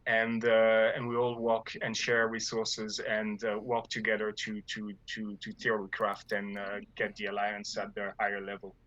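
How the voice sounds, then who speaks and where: A man's voice: fairly low pitch, completely flat delivery, normal speed, hardly any pauses, fairly bright tone, no room sound, highs cut off. A man from France with a French accent, talking about his gaming guild in a casual interview, hesitant with many fillers and restarts. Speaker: guild leader.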